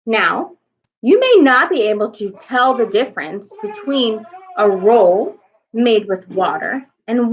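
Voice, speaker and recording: A young adult woman reading a children's bedtime story aloud expressively, indoors at home into a webcam. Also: mid-range voice. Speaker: storybook reader. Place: small room at home, indoors. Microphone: webcam at arm's length. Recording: slightly dull home webcam sound.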